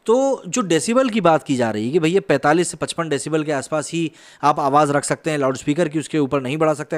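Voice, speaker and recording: A man in his thirties from India presenting explanatory news commentary as a host, speaking into a close studio microphone. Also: on the high side. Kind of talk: news explainer commentary, part conversational, part scripted narration. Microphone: close desk microphone on a boom arm.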